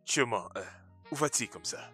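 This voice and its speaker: A man's voice: mid-range voice, lively intonation, moderate pace, bright, forceful tone, faint music behind. A young man playing a firm, obedient older brother, bossy and insistent, in a dubbed melodrama. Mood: firm, cautioning.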